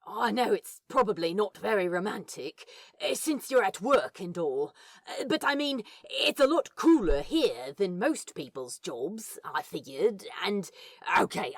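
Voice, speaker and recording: A woman voicing an awkward, lovestruck, foul-mouthed man in a fan fiction audio drama, halting and rambling, with a husky, breathy voice. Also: mid-range voice.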